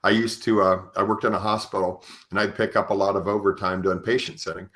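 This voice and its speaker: A man hosting a conversational podcast, sharing anecdotes in a halting way with frequent "you know". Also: lowish voice, flat delivery, a bit bright. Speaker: podcast host. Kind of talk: conversational interview with personal anecdotes.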